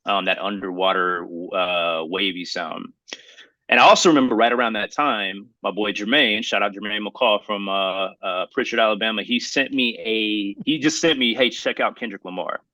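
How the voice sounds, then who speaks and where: Bright-voiced man telling rambling stories. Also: on the low side, moderate pace, dry recording. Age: 30s. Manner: rambling, emphatic storytelling with slangy phrasing. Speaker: podcast co-host. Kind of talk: casual podcast chat about hip hop.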